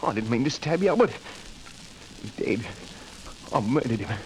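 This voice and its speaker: Brooding laborer, wildly swinging tone, slightly high, 1940s radio play. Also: male voice, big pitch swings, normal speed, fairly bright tone, a little hiss or hum, rough recording. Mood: panicked. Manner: brooding, then frantic outbursts. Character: working-class man driven to murder by a cursed knife.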